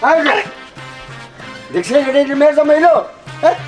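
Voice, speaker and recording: A man's voice: very high voice, normal speed, faint music behind. A man playing an aggrieved village porter in a comedy skit, pleading and complaining as he haggles. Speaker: porter who carried bags.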